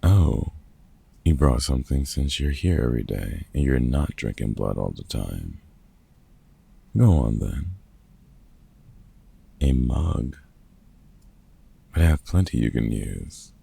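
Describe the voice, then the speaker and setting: An extremely low, heavily creaky voice for a gruff middle-aged vampire hunter acted by a man. He is a protective mentor with a husky, raspy tone and unhurried delivery, teasing and dryly fond in a comfort ASMR roleplay. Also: big pitch swings, warm tone, heavily acted. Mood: curious, wary. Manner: casual, teasing, sweary, halting.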